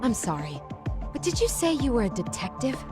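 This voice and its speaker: A woman's voice: fairly high pitch, sing-song, fairly quick. An actress playing an earnest, strong-willed female homeroom teacher with a pointed, formal manner in a video game drama. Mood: skeptical, sharp. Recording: music behind the voice.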